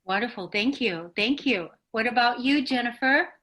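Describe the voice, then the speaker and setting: A woman hosting a discussion show in a conversational, somewhat halting and rambling way. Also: a little high, expressive, a bit bright. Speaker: talk show host.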